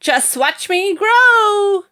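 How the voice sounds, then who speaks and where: A woman voicing a screechy, wild, gleeful ancient grandmother, shouting high above her own voice. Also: expressive, very slow, full character voice. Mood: gleeful shrieking.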